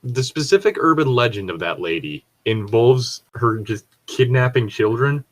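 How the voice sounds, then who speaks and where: A man's voice: mid-range voice, lively intonation, moderate pace. A man chatting casually in a rambling way, swearing freely. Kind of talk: casual chat.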